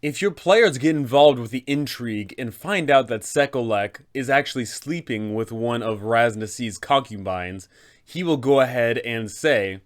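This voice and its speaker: A man's voice: medium pitch, moderate pace. A man hosting a guide for game masters, delivering scripted narration about a fantasy tabletop game. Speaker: host, game master giving advice.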